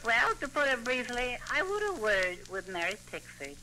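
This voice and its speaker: A man's voice: extremely high pitch, sing-song, normal speed, sharp tone, voice pushed up, exaggerated voice. A man voicing a cheeky, boastful boy ventriloquist dummy in old radio comedy, cocky, sarcastic and full of mock grievance. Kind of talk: 1930s-40s radio comedy banter. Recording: hissy, crackly old radio recording.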